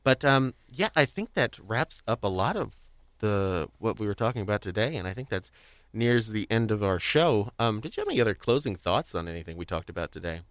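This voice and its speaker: A man hosting a conversational podcast interview, speaking in a halting, rambling way as he searches for words. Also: fairly low pitch, sing-song, dry recording. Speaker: podcast host.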